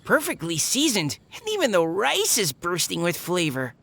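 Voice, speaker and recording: An eager young adventurer in a video game, her mid-height voice rising and falling with lively expression. She sounds curious and keen. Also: fairly low pitch, moderate pace, creaky, exaggerated voice. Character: eager young woman adventurer who cooks for her party. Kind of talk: video game cutscene voice acting.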